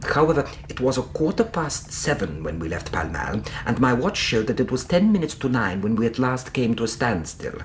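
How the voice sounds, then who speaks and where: An actor playing an anxious, well-spoken Victorian gentleman in a radio drama, formal and precise in manner. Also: mid-range voice, sing-song, normal speed, hardly any pauses, slight background noise, natural voice. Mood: earnest recounting.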